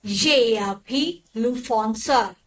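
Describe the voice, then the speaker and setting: Slow, clearly enunciating woman. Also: a little high. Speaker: language lesson model speaker. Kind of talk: slow model repetitions of sentences.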